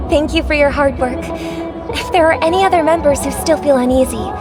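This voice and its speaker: A woman's voice: sits high, lightly acted. A woman playing a gracious young superstar singer in a video game, speaking politely and formally. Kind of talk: scripted game voice acting. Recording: loud music behind.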